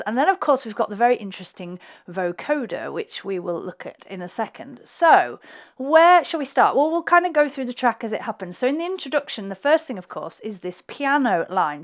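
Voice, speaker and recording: A woman with a slightly croaky voice, a flutist chatting through her music production session and thinking aloud as she clicks around. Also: medium pitch.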